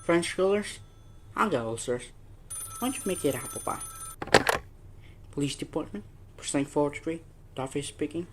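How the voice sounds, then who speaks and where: A woman playing a weary, grumbling, indifferent police desk sergeant in an old-fashioned radio drama, flat and perfunctory. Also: low voice, normal speed, pauses often. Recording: noisy radio play audio.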